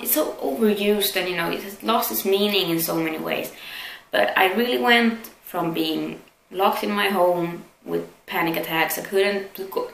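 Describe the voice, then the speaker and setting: A woman's voice: on the low side, creaky, fairly bright tone. A young adult woman from Sweden, chatting casually and candidly to camera as a self-deprecating vlogger. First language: Swedish. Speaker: vlogger answering viewer questions. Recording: echoey room with faint background noise.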